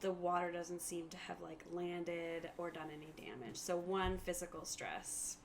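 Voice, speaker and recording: A woman's voice: lowish voice, fairly even intonation, a little slow. A woman casually running a tabletop roleplaying game as game master, explaining rules and narrating the action. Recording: faint background noise.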